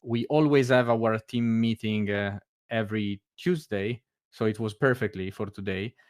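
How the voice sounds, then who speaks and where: A man's voice: lowish voice, moderate pace, no room sound, studio quality. A man from Italy with an Italian accent, chatting casually on a video call and hesitating often with fillers and restarts. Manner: laughs often. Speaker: meetup guest. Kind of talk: casual chat.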